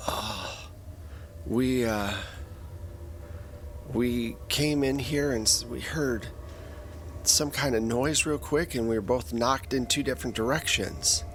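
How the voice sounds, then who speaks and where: A man's voice: mid-range voice, a bit bright, a little hiss or hum. A man doing a dazed, hurt crewman. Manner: halting, stammering. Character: injured dazed male crew member, bleeding.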